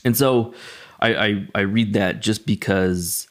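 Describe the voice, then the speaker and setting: A man speaking as a pastor in a casual, halting and reflective devotional style, telling personal stories in a conversational way. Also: lowish voice.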